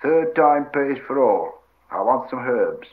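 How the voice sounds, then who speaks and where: Storybook hobbit servant played by a man: gruff, bossy, homely, very lively intonation, slightly muffled sound. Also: medium pitch, slow pace, pitched above the performer's own voice. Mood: practical, muttering. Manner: homely rustic phrasing, scolding. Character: plain-spoken, gruff, practical male hobbit servant, stubborn and bossy.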